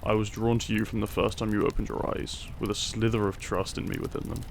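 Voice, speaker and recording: A man playing a gentle, devoted Victorian doctor in an intimate romantic audio drama, earnest and soft-spoken. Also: fairly low pitch, level tone, fairly quick, gravelly, slight background noise. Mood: tender, loving. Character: tender, devoted Victorian doctor, modest and smitten.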